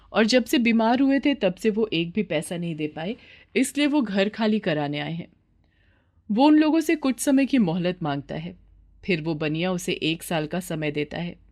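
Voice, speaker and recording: A woman's voice: lowish voice, darkish tone. A woman narrating a scripted children's story as the storyteller.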